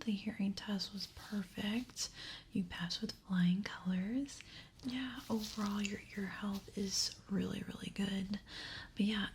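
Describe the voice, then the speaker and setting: A woman speaks in a breathy whisper, playing a gentle medical examiner for ASMR. Her manner is reassuring and unhurried. There is faint background noise around the voice. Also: mid-range voice.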